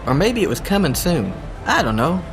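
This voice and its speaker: A man playing a goofy, excitable, good-natured agent in a comedic audio drama, talking in a folksy, enthusiastic way. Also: on the high side, moderate pace, music in the background. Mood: chatty.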